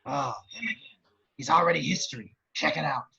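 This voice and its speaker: Super raspy sleazy wisecracking villain boss, scheming and crude, lo-fi audio. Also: male voice, a little high, fairly quick, sharp tone, heavily acted. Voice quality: very raspy, gravelly. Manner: leering, wisecracking. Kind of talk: amateur table read of a film script.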